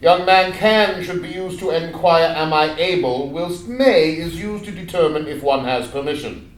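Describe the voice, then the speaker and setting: A man's voice: fairly high pitch, moderate pace, natural voice. A man playing a pompous, condescending scholarly owl, speaking in formal, pedantic phrases. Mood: condescending, scolding. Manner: pedantic, formal, stuttering hoots on words. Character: pompous, scholarly, condescending old-fashioned great gray owl. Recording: echoey room, far from the mic.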